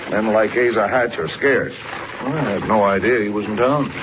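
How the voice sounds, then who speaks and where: An actor playing a brisk, gruffly friendly newspaper city editor with an authoritative, emphatic manner in a noisy 1940s radio drama. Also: male voice, fairly low pitch, close to an everyday voice. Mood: confiding. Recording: noisy, dull vintage radio recording.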